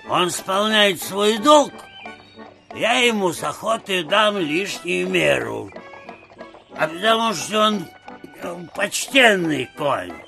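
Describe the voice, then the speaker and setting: An actor playing a folksy serf coachman who grumbles at his horses with rough fondness, in a drawling rustic manner, for an audio drama. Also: high-pitched, pushed and bright, heavily acted. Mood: approving. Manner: drawling, muttering rustic talk to animals. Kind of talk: theatrical audiobook drama acting.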